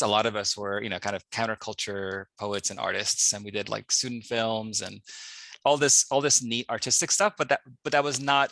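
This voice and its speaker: A man's voice: lowish voice, creaky, pushed and bright, no echo, low-quality recording. A man in his forties from the United States, a poet and professor, talking candidly and thoughtfully on a panel. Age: 40s. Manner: candid, musing, self-deprecating. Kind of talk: reflective panel conversation.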